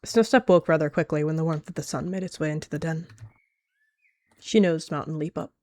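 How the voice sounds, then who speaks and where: A woman's voice: low-pitched, brisk, a little mellow. A woman giving descriptive story narration for her character in a roleplay.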